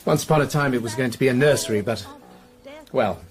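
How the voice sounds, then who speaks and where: An actor playing a friendly amateur theatre director in a radio drama, making self-mocking asides. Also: male voice, mid-range voice, fast talker, close to an everyday voice. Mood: nervously jokey. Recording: music playing behind the voice.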